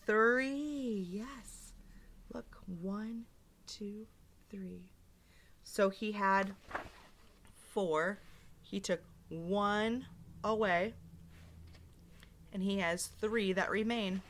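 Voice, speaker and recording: A woman's voice: medium pitch, steady tone, extremely slow, pauses often, a bit bright, slight background noise. A woman teacher doing an interactive read-aloud for young children, explaining simply, asking questions and reading expressively.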